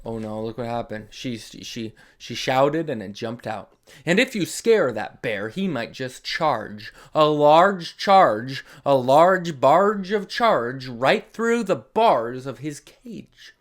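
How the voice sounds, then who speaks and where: A man's voice: medium pitch, very animated, takes it slowly. A man hosting a read-along for children, reading aloud expressively with dramatic emphasis and chatty asides to young listeners. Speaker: host of a children's read-along story series.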